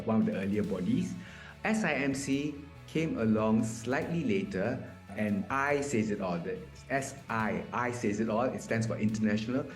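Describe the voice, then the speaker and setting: A man's voice: on the low side, darkish tone. A man in his fifties from Malaysia answering interview questions conversationally, explaining things with asides and self-corrections. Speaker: interview guest, mediator. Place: small indoor room. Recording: echoey room on video call.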